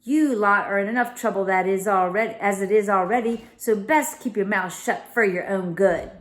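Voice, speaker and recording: A woman voicing a surly male thief in a children's storybook, sharp, sneering and defiant. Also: medium pitch, normal speed, natural voice. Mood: sneering, threatening.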